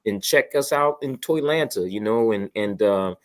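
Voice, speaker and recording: A man with a fairly low voice chats casually as a show guest. He is effusive and slangy, often repeating his phrases. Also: lively intonation, normal speed. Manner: effusive, repetitive, peppered with "man" and "you know". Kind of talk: casual chat.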